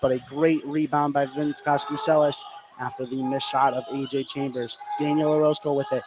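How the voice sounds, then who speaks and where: A man's voice: medium pitch, level tone, moderate pace. An American man giving live play-by-play radio commentary on a basketball game. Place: indoor high school gym during a game. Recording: clear background gym noise.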